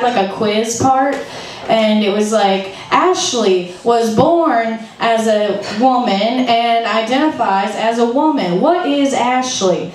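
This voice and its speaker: A woman's voice: medium pitch, darkish tone. A young woman doing stand-up at an open mic, rambling loosely and laughing at her own jokes into a handheld stage mic. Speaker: stand-up comic. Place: small club stage with red curtain. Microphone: handheld stage mic, heard through PA. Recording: roomy club PA heard from far off.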